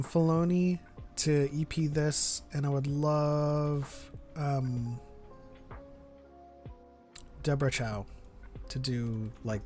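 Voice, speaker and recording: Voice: medium pitch, darkish tone. A podcast host chatting casually in a fan discussion. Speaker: podcast host reading viewer chat.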